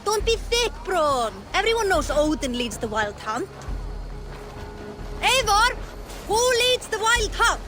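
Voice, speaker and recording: An actress playing a blunt, bossy Norse village woman in a video game, brisk and scolding. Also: extremely high pitch, very animated, over music, heavily acted. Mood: scolding, firm. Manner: brisk, scolding, matter-of-fact. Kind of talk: dramatic video game acting.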